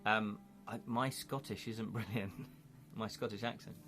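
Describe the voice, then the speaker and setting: A man's voice: fairly low pitch, steady tone, unhurried, a bit bright, soft background music. A man with an English accent hosting a casual live stream, chatting and thinking aloud as he reads viewer comments. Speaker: livestream host.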